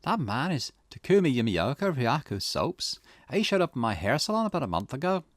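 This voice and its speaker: A man's voice: mid-range voice, sing-song, a little fast, no room sound. A man voicing a tough, grieving young woman in visual novel game dialogue, with an indignant, dramatic delivery. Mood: distraught recollection.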